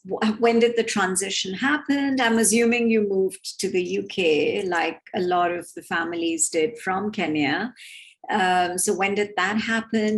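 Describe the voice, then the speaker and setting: Slow, halting woman podcast host. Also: medium pitch. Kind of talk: conversational podcast introduction and questions.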